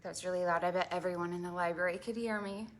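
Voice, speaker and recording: A woman hosting an interactive storytime for preschoolers, talking in an animated, exaggerated way to small children and asking them lots of questions. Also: lowish voice, fast talker. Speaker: children's librarian and storytime host.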